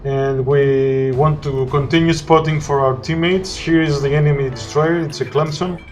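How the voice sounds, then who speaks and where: A man with a Greek accent, a non-native English speaker, narrating his gameplay in halting sentences full of "uh"s. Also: a little high, steady tone, darkish tone, faint music behind. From Greece. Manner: halting, searching for words with frequent "uh". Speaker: gameplay commentator. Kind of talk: gameplay commentary.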